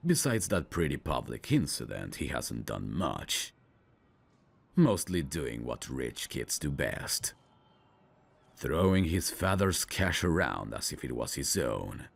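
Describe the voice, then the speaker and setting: A man acts out a gruff veteran police detective in an audio drama, with an extremely low voice full of gravel. He drawls slowly and sardonically, a tough, world-weary cynic. The husky delivery still rises and falls expressively. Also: heavily acted. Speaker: detective mentoring a rookie. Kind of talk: scripted roleplay audio drama.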